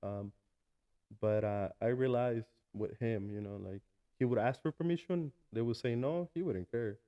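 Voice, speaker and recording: A man in his early thirties from Mexico with a Mexican Spanish accent, a guest talking casually in an interview with many pauses and restarts. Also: mid-range voice, lo-fi sound. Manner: hesitant, many fillers and restarts. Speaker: guest, food truck owner.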